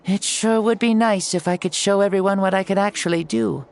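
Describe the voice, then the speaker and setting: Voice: medium pitch, fairly even intonation, brisk, creaky, a little mellow, lightly acted. An actor voicing a jaunty, grandiose ancient fire-god spirit in a boy's body for a video game, playful and theatrical with old-fashioned turns of phrase. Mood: cheeky, boastful. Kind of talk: video game character acting. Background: music under the voice.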